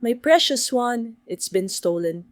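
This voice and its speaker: Woman voicing a sly, high-pitched storybook fox. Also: animated, unhurried, no echo, clean studio sound. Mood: dramatic wailing. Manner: melodramatic, falsely sweet pleading. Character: sly, greedy female fox, sweetly pleading and wailing.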